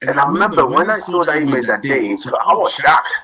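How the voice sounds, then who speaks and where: A man who grew up in Cameroon presents in a webinar. He speaks over a video call, restarts often and fills pauses. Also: on the high side, very animated, a crowd in the background, poor audio. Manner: many restarts and fillers, rising energy.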